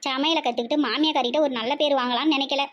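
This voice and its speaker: A woman acts out a bossy older village mother-in-law, high-voiced and nagging. She scolds sarcastically with a southern Tamil Nadu accent, in the style of a domestic comedy skit. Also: fairly even intonation, rapid-fire, highs cut off, lightly acted. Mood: nagging, indignant.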